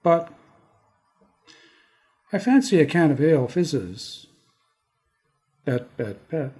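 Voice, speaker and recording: Slow-paced Canadian man, deliberate and halting. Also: on the high side, very animated, mellow. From Toronto area, Canada. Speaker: poet, guest on an arts podcast. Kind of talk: casual self-introduction and slow poetry reading. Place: studio.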